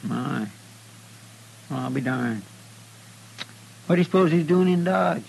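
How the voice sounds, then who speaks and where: A man's voice: fairly high pitch, measured pace, vocal fry, darkish tone, slightly rough, exaggerated voice, rough recording. A man playing a folksy, anxious, deferential frontier sidekick with a rural American drawl in an old radio western, recorded with hum and noise. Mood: worried, curious. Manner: folksy, hesitant, eager. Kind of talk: old-time radio drama acting.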